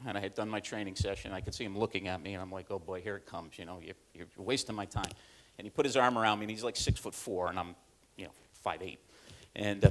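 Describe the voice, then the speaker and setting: A man's voice: on the low side, a little mellow. A middle-aged man from upstate New York giving a conference presentation, telling self-deprecating stories with many fillers and restarts. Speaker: corporate learning speaker. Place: conference room.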